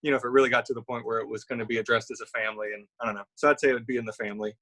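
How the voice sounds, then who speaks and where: A young man from Louisiana, a musician, answering interview questions in a relaxed, affable, rambling way on a live stream. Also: mid-range voice, racing, a crowd in the background. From Baton Rouge, Louisiana. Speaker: musician guest.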